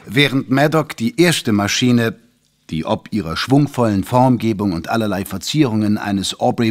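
A man delivering scripted, dramatic narration for an audio drama. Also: medium pitch. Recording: music bed under narration.

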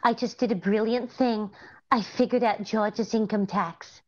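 A woman's voice: medium pitch, steady tone, measured pace, lightly acted. A middle-aged woman playing a cheerful, naively confident scatterbrained wife in a 1940s-style radio comedy. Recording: slightly dull online-call audio.

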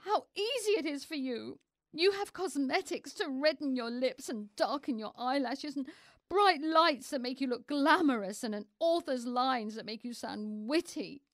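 An actress playing an earnest, naive young wife in a radio play, with a plaintive, theatrical delivery. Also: female voice, high voice, lively intonation, moderate pace, no room sound, clean studio sound. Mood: indignant, self-pitying.

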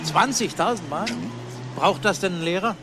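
An actor playing a courteous but persistent police detective questioning people in a German crime radio drama. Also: male voice, sits high, moderate pace, over music, lightly acted. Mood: insistent, sceptical. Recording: noisy outdoor ambience behind dialogue.

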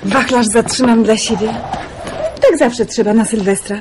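An actress playing a lively, playful, romantic young woman in a radio drama, with a theatrical manner. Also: a little high, normal speed, background noise, lightly acted.

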